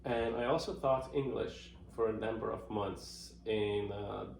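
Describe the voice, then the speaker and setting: A middle-aged man from Iran, with a fairly low voice that has a gravelly edge. He answers interview questions thoughtfully, often pausing on fillers and restarting his sentences. The recording picks up a noticeably roomy echo. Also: fairly even intonation, darkish tone, a little hiss or hum. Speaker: interviewee, marketing professional. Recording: roomy echoey room.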